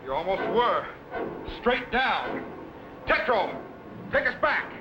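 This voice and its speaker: An actor playing a commanding time-travelling captain with authoritative, dramatic delivery in an old children's sci-fi serial. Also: male voice, high-pitched, big pitch swings, takes it slowly, lo-fi sound, heavy background noise, full character voice. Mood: stern.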